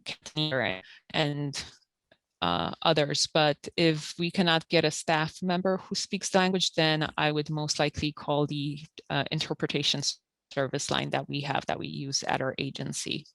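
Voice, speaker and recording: A woman who works at a community health center, calmly explaining eligibility rules and documents step by step with frequent fillers. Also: deep voice, moderate pace, creaky, no room sound. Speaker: health center benefits counselor. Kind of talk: informational interview.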